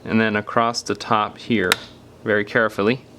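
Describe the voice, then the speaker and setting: A man's voice: lowish voice, level tone, moderate pace, background noise. A man narrating a hands-on how-to in an unscripted, casual step-by-step way, sometimes leaving phrases unfinished. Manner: casual step-by-step explaining, trailing off mid-phrase. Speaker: repair tutorial presenter.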